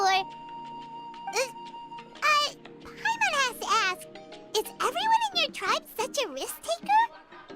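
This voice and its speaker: A woman voicing a tiny, childlike fairy companion in a video game, excitable and curious. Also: very high voice, exaggerated voice. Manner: refers to self in third person. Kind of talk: scripted video game voice acting.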